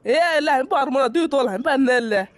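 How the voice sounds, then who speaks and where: A man's voice: very high-pitched, fast, a little hiss or hum. A man voicing a brash, cocky young nephew in a comic cartoon, with a northern Bangladeshi accent, curt in his replies. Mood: cheeky. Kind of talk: comic cartoon dialogue in rural dialect.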